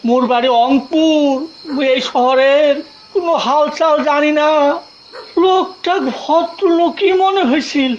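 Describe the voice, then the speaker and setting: Wailing, very high-pitched Rangpur rickshaw puller. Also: male voice, fairly even intonation, a little slow, dull audio, slight background noise. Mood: plaintive, stubborn. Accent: Rangpur regional Bangladeshi. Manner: pleading, plaintive, stumbling apologies. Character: distraught, naive old village rickshaw puller, pleading and wailing. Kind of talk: audio drama acting.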